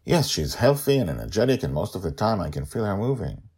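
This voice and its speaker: Guy reading as an anxious shy expectant mother in an audio story, higher pitch, earnest, slightly husky. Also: big pitch swings, a little fast, a little mellow, full character voice. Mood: worried. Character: anxious, shy, heavily pregnant woman.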